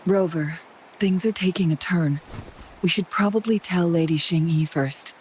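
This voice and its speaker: An actress playing a composed, perceptive noblewoman advisor in a video game, measured and poised, gently probing. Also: on the low side, a little hiss or hum, lightly acted, low-quality recording. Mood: calm, analytical.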